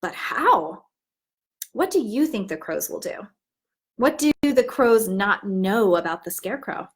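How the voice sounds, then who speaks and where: A woman teaching in an instructive, expressive way, as if demonstrating how to read a storybook aloud. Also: mid-range voice, measured pace. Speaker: teacher trainer.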